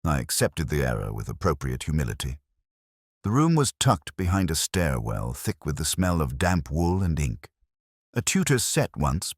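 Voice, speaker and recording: A man reading a scripted first-person detective story in a measured, literary, brooding manner. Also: a bass voice, dry recording, clean studio sound. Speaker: narrator.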